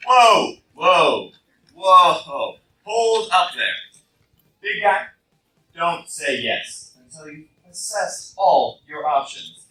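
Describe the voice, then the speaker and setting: A man's voice: sits high, sing-song, very slow, noisy background, heavily acted. A man playing a brash, flippant playboy superhero with swagger, in a roomy, distant fan radio play recording. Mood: brash, playful.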